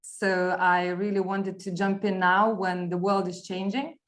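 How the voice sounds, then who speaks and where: A woman's voice: mid-range voice, little pitch movement, unhurried. A woman talking informally about herself as a guest on an online panel.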